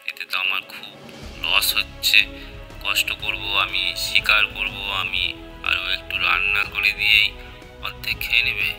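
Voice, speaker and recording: A man's voice: deep voice, gravelly, sharp tone, exaggerated voice. A man voicing an aggrieved hunting animal in a children's cartoon, complaining and insisting on justice. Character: aggrieved hard-working animal hunter pleading for justice.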